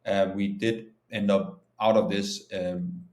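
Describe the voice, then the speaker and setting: A man's voice: lowish voice, little pitch movement, slow, a little mellow. A man from Denmark speaking informally and explaining things as a guest in an interview. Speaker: startup founder and CEO, interview guest. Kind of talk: conversational interview answers. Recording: echoey, roomy sound.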